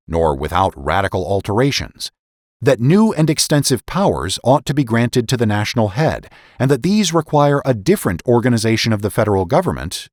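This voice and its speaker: Male narrator, lowish pitch, highly animated intonation; formal, emphatic dramatic reading of period political prose. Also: no echo, clean studio sound. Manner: formal, deliberate, emphatic oratorical delivery. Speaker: podcast host and narrator.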